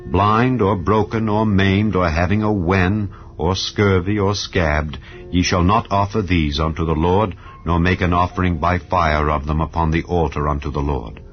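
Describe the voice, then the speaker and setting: A man giving a solemn, measured, dramatized scripture reading. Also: low voice, fairly even intonation. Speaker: scripture reader. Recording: lo-fi with soft background music.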